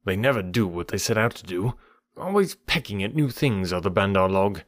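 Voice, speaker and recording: A man's voice: lowish voice, lively intonation, normal speed, dry recording, studio quality, close to an everyday voice. A man voicing a wry, knowing old male kite who talks with a chuckling tone.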